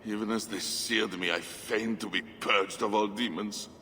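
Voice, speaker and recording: An actor playing a deranged, burn-scarred man who believes he is a demon, speaking in a feverish voice that swings from hushed to ranting. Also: fairly low pitch, fairly even intonation, normal speed, a little hiss or hum. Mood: brooding. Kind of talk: video game cutscene acting. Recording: echoey hall reverb.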